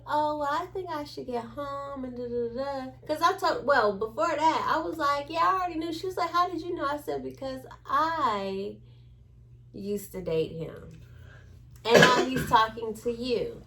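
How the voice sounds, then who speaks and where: A woman telling a story in a casual chat, acting out the quoted dialogue as she goes. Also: high voice. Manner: animated retelling with acted-out quotes.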